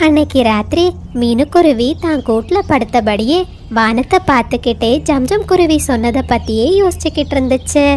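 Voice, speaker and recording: High female voice, scripted children's storytelling, faint background noise. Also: a little mellow.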